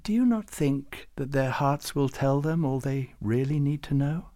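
A man doing a gentle, kindly woman saint. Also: mid-range voice, expressive, unhurried, no echo, clean studio sound, pitched above the performer's own voice, lightly acted.

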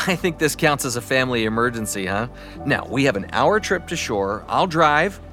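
A man's voice: mid-range voice, expressive, moderate pace, over music, lightly acted. An actor playing an apologetic, earnest father and brother in a family audio drama. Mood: reassuring.

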